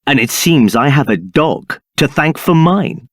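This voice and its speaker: A man's voice: on the high side, lively intonation, a little slow, a bit bright, dry recording, studio quality. An actor playing a scheming, arrogant male templar captain in a video game, speaking in a haughty, condescending manner. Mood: triumphant.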